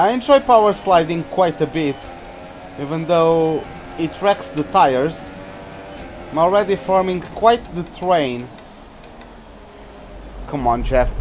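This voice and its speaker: A man's voice: a little high, a little mellow, slight background noise. A young Portuguese man with a Portuguese accent, chatting and laughing often as he commentates his own racing game on a live stream. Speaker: gaming streamer.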